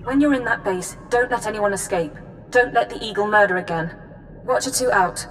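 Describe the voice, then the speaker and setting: An actress playing a serious, worried intelligence officer giving an urgent briefing over a comm. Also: female voice, mid-range voice, fairly quick, a bit bright, a little hiss or hum.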